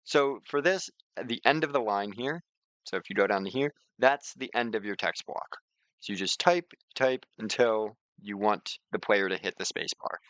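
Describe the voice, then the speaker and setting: A man presents an informal software tutorial in a fairly low voice with a bright, forceful edge. He talks conversationally and leans on repeated words to make his points. The sound is dry and close. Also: normal speed, no room sound. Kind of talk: informal screen-share tutorial.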